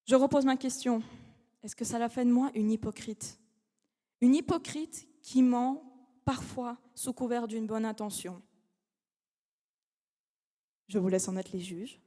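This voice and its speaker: A young woman delivers a formal eloquence speech in a large academic hall, her fairly high voice carrying with a long reverb. She speaks in measured, dramatic phrases with rhetorical pauses, in French that is not her first language. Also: warm tone. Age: young adult. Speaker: law student giving a competition speech.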